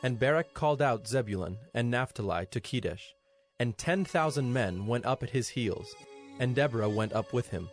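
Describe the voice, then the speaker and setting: Male scripture narrator, mid-pitched, scripted and solemn, musical score clearly behind. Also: animated, soft background music. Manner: solemn, measured storytelling.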